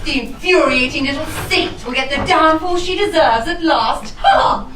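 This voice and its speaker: A woman's voice: high voice, moderate pace, background noise, natural voice. A woman playing a scheming, vengeful rich widow in a rhyming costume drama, with an arch, grandiose and condescending manner. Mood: imperious scheming. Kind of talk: theatrical rhyming costume-drama stage acting. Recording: roomy, distant microphone.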